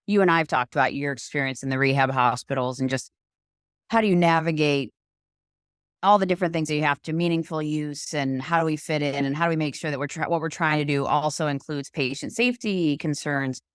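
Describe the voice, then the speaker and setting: A woman podcast host with a notably low voice talks in a loose, rambling, enthusiastic way. She chats casually. The recording is dry and close. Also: a little fast, no echo. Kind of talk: casual conversational chat.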